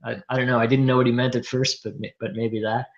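A man from Newfoundland speaks as a guest in a casual interview, with an ordinary mid-pitched voice. He talks haltingly, restarting and repeating words. The recording is dull and lo-fi. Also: little pitch movement. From Newfoundland, Canada.

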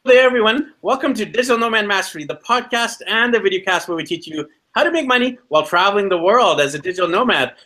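A man's voice: high voice, sharp tone. A man hosting a podcast interview in an upbeat presenting style. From Vancouver, Canada. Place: indoors in a condo. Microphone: video call.

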